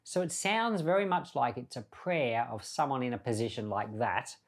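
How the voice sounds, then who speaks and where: A man with a medium-pitched voice speaks as a pastor on a Bible podcast. He reads and explains scripture informally, in an earnest and conversational manner. His delivery is that of someone patiently explaining a text to listeners. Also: normal speed.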